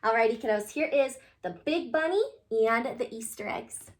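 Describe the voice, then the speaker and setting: A woman reading a children's picture book aloud in an animated, expressive way, addressing young listeners. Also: on the high side, normal speed.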